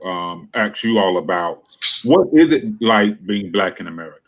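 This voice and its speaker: Male moderator with a lowish voice, posing questions to the panel. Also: lively intonation, moderate pace. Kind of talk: moderating a discussion.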